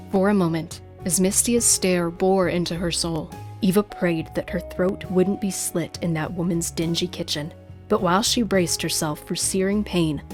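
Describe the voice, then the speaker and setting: A woman's voice: on the low side, darkish tone. A woman hosting her own show, moving between scripted story narration and chatty talk as an author. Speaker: host and author.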